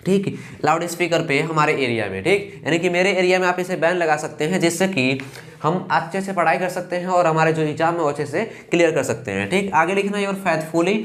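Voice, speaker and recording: A young man with an eastern Uttar Pradesh Hindi accent teaching a lesson, reading English lines slowly and carefully. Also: fairly high pitch, fairly bright tone. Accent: Hindi speaker from eastern Uttar Pradesh. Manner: slow and careful when reading English lines, fillers "ठीक" between steps. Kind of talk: explaining a lesson.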